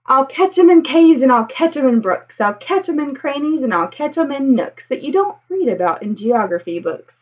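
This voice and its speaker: An American woman in her thirties reads a picture book aloud to children, expressively and with drawn-out emphasis. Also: high-pitched, dull audio. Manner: animated storytelling with drawn-out emphasis. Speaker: elementary school teacher reading aloud. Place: small room at home with bookshelf.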